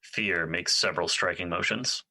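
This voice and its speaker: Sharp, deep-voiced male stage-direction narrator. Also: steady tone, moderate pace, no room sound. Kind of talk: scripted narration.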